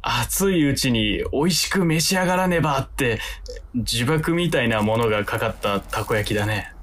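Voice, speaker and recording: A man playing a shy, kind young man with a halting, flustered and dry delivery in comic radio drama. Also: medium pitch, animated, fairly bright tone, a little hiss or hum, lightly acted.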